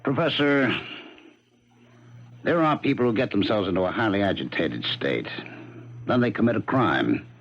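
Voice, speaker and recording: An actor playing a methodical police detective in a 1970s radio mystery, probing and insistent in a measured way. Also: male voice, lowish voice, very animated. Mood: accusing, insistent. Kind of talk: 1970s radio drama acting. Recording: dull lo-fi 1970s radio broadcast.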